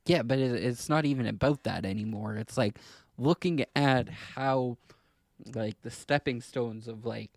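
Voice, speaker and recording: A man with a gravelly, creaky voice, recorded close and dry. He talks as a podcast co-host in casual discussion, working through his explanations in a loose, halting way at a middling pitch. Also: a little mellow, no room sound. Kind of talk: casual discussion of films and politics.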